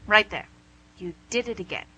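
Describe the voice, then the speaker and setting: A woman's voice: mid-range voice, expressive, moderate pace, sharp tone, husky, highs cut off. A woman playing a suave female devil boss in an amateur radio comedy, pedantic, condescending and mock-polite. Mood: pedantic, scolding. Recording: amateur radio recording with hum, noise.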